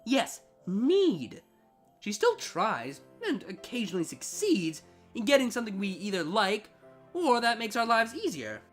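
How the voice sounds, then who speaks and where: A woman's voice: medium pitch, sing-song, a little slow, faint music behind. A woman voicing a haughty, irritable, sarcastic siren in a storybook reading, with a biting, exasperated manner. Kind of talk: storybook fan fiction reading.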